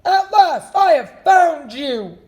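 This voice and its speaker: A brave male hunter from a read-aloud storybook, loud and forceful, as a woman voices him. The voice is raised well above her own and calls out. It keeps a slow, deliberate pace. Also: very high voice, very animated, voice pushed up, heavily acted. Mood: angry.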